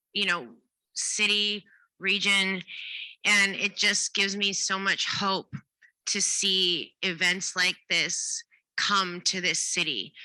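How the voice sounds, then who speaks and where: A woman's voice: mid-range voice, sharp tone, rough recording. An enthusiastic, earnest woman from Canada, middle-aged, answering questions at a press briefing. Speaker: event ambassador.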